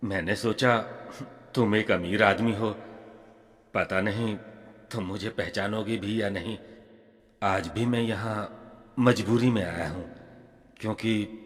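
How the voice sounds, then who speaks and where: A man's voice: lowish voice, slow, long pauses. A man playing a humble, downcast village shopkeeper fallen into poverty, in an acted audio storybook. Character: humble, ruined poor village shopkeeper, old friend. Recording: long hall reverb.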